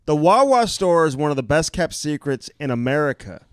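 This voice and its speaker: Smooth-voiced easygoing male co-host. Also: a little high, very animated, moderate pace, no room sound. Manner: easygoing, laughs often, asks in bursts with "like". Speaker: podcast co-host. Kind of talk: casual interview questions.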